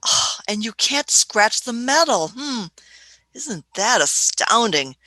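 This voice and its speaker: A woman's voice: on the high side, big pitch swings, slow, pushed and bright, no echo, heavily acted, poor audio. A woman playing an eccentric, curious elderly time traveller who mutters and hums while she thinks, in an amateur radio play. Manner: muttering hmms, musing, hesitant restarts.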